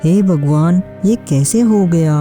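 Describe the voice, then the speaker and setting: Cartoon lead: humble earnest village man played by a woman, low and mellow, plaintive, soft music behind. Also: slow. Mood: distressed. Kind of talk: Hindi animated moral story dialogue.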